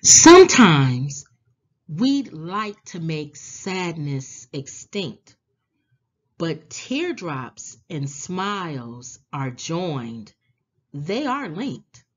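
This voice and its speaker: A woman reads a picture book aloud for young children at a very slow pace. Her fairly low voice swings expressively, with drawn-out words and deliberate pauses. The recording sounds slightly dull. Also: very animated.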